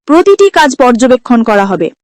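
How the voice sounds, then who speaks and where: A woman's voice: a little high, big pitch swings, moderate pace, no echo. A woman voicing an authoritative, fair-minded older mother-in-law in a cartoon story, firm and instructive. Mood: firm, commanding.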